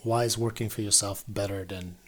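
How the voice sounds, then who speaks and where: A man's voice: fairly low pitch, steady tone, a little fast. A man in a casual conversation, asking probing, encouraging questions about his partner's work. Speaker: interviewer.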